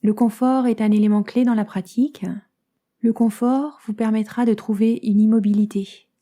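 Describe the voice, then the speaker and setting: A woman guides a yoga nidra meditation as a teacher, calm and soothing, in soft phrases that turn breathy at the ends, with many pauses. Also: on the high side. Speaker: yoga nidra teacher guiding a relaxation session.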